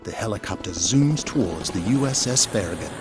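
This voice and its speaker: A man's voice: on the low side, expressive, fairly quick. A man reading scripted, present-tense narration for an audio drama. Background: music.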